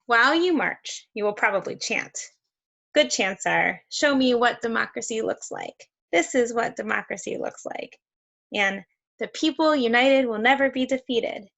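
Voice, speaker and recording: Woman, children's read-aloud host. Higher pitch with noticeable vocal fry, expressive, encouraging, chatting between pages. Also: measured pace. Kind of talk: children's picture book read-aloud with asides.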